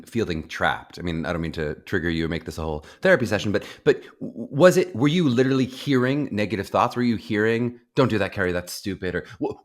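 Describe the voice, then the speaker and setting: A young man hosts a podcast, his voice sitting very low. He asks long, thoughtful questions, restarting often as he works out what he means. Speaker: podcast host. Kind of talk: conversational interview.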